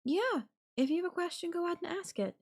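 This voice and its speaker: A woman's voice: very high-pitched, expressive, fast talker. A woman voicing a possessive yandere girlfriend in an audio roleplay, coaxing and teasing her captive with veiled threat. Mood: quietly threatening. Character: possessive yandere girlfriend, sweetly menacing captor. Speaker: voice actor.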